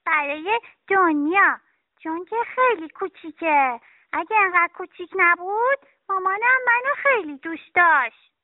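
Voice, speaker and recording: A woman's voice: very high voice, lively intonation, dry recording, voice pushed up. A woman voicing a sulky, tearful little girl in a children's story, with a whiny, pouting delivery. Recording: tinny phone-like dry sound.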